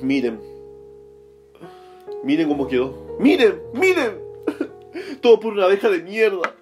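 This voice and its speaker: A young Argentine man with a high-pitched voice tells a comic story to camera. He is theatrical and mock-dramatic, peppering it with crude slang, while faint music plays behind him. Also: very animated, a little slow, a little mellow. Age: young adult. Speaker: comedy vlogger. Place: small room, talking to camera.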